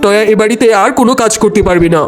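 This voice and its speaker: A man's voice: sits high, racing, lightly acted. A man voicing a fair-minded cartoon father and uncle who reasons and admonishes earnestly. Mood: stern. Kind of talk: cartoon dialogue. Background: music.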